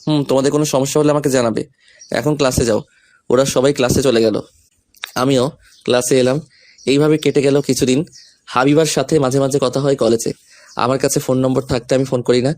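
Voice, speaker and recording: Young male narrator and lead for romantic audio story, mid-range pitch, scripted delivery. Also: steady tone, brisk, long pauses, darkish tone.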